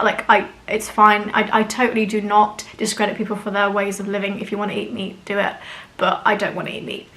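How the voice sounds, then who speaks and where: A woman's voice: medium pitch, bright, forceful tone, rough recording. A woman hosting a spiritual channel, talking frankly and casually to camera, her sentences full of "like" and "you know". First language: English. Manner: chatty, frank, with many "like" and "you know" fillers.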